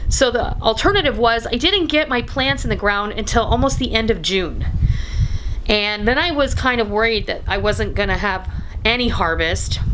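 A woman's voice: medium pitch, a bit bright, rough recording. A middle-aged woman giving a casual, chatty home vlog narration in a folksy, enthusiastic way. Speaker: home cooking vlogger and host. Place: room at home. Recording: clear background noise.

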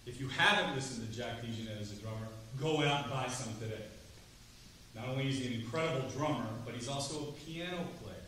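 A man's voice: medium pitch, quick pace. Chatty man teaching in an echoey hall. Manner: lively, chatty, asks the room questions. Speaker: drum clinician. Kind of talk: percussion clinic talk to students. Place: large rehearsal hall, standing before seated students. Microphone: far camera, no mic. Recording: roomy hall from far off, faint noise.

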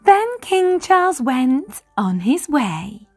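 A woman reading a picture book aloud expressively, in an animated way for young children. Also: high-pitched, takes it slowly, soft background music. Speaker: children's story reader.

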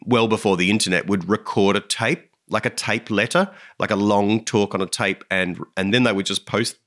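A man's voice: fairly low pitch, steady tone, moderate pace, a bit bright. A man co-hosting a podcast, talking in a thoughtful, earnest casual chat. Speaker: podcast co-host.

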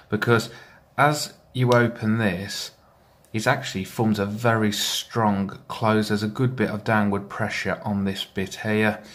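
A man giving a measured, methodical walkthrough of a hobby build, explaining it step by step. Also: on the low side, a bit bright. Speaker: hobbyist builder presenting his project.

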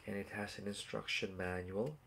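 A man's voice: lowish voice, steady tone, normal speed, gravelly, very noisy. A man in his forties with a stuffed-up, nasal voice, chatting casually and rambling with live viewers from a small room at home, recorded on a phone or webcam at arm's length. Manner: rambling and chatty, full of fillers and asides to viewers. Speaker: toy collector hosting a live unboxing. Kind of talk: casual live-stream chat.

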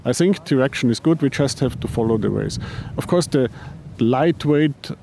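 A man who heads a paraglider company answering interview questions, halting, with frequent restarts and repeated words. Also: mid-range voice, lively intonation, gravelly, noisy background. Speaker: paraglider designer and company head.

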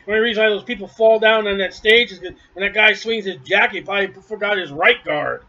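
A man's voice: high-pitched, quick pace, pushed and bright, lo-fi sound. A man preaching a sermon from home, blunt, scornful and emphatic.

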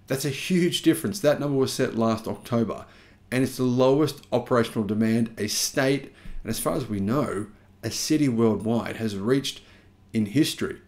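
A man's voice: medium pitch, darkish tone. An Australian man in his thirties talks straight to camera about the news. He drives his points home by stretching and stressing key words. He sits in a small indoor home studio. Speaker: YouTube channel host.

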